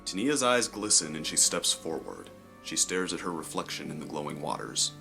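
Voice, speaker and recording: A man reading scripted story narration in a measured, descriptive way. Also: a bass voice, big pitch swings, gravelly, sharp tone, soft background music.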